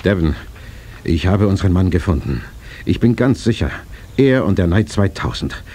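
Low-voiced, resolute older gentleman. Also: lively intonation, normal speed. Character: determined, visionary wealthy older gentleman, project patron. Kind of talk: dubbed-style radio play acting. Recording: faint noise on cassette transfer.

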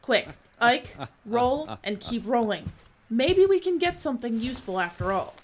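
A woman's voice: fairly high pitch, animated, moderate pace, dull audio. An actress playing a jaded, brusque and sarcastic female documentary director. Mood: weary, brusque. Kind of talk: film dialogue.

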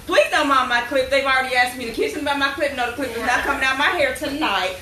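A woman's voice: sits high, slight background noise. A woman hosting her cooking show, chatting and teasing her friends on camera. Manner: lively, teasing, quick bursts. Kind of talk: casual chat with friends on camera. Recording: echoey room, far from the mic.

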